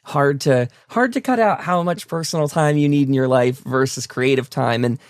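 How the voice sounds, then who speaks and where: Creaky-voiced man hosting a talk show. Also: mid-range voice, moderate pace, no echo, studio quality. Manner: discursive, repeats words as he forms questions. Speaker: talk show host, interviewer. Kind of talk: conversational interview.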